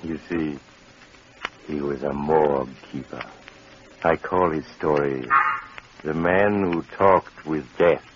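Creepy smooth doctor storyteller, super deep voice, muffled old radio sound. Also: male voice. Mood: ominous. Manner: slow, silky, ominous storyteller. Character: sinister doctor host, middle-aged man, smooth and menacing. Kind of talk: 1940s radio drama acting. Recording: dull lo-fi old radio recording with hiss.

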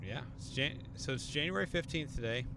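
A man's voice: medium pitch, expressive, a little fast, vocal fry, background noise. A man in his thirties co-hosting a podcast, chatting and joking casually with his wife. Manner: joking, playful banter. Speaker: podcast co-host. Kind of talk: casual chat. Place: in a car.

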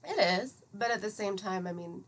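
Female podcast guest in her thirties, middle register, thoughtful explainer in relaxed conversation. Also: mid-range voice, moderate pace. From United States (Atlanta, Georgia). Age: 30s. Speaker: podcast guest, psychiatric pharmacist. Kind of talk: conversational interview.

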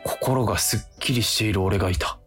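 A man's voice: lowish voice, a little fast, fairly bright tone, lightly acted. Man playing an overwrought young office worker, music behind. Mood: relieved, resolute. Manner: overwrought, dramatic self-talk. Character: self-pitying, melodramatic young male office worker, prone to fantasy. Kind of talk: comic radio drama acting with inner monologue. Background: background music.

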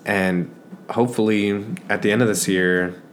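A man's voice: fairly low pitch, steady tone, moderate pace, slight background noise. A man in his twenties hosting a podcast, talking casually and personally in an earnest, reflective way. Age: 20s. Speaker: podcast host.

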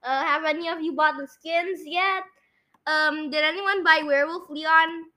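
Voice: sits high, steady tone, a little slow, sharp tone. A young-sounding female podcast host chatting casually and running a guessing game.

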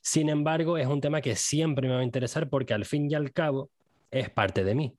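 A man's voice: mid-range voice, a little fast, darkish tone, dry recording. Young male interviewer, dry sound. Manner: asks probing follow-up questions. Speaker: podcast host. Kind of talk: interviewing, conversational.

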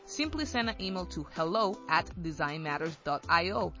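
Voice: medium pitch, expressive, normal speed, bright, forceful tone, muffled recording, faint music behind. A speaker reading an upbeat event promotion. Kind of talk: read promo and chat.

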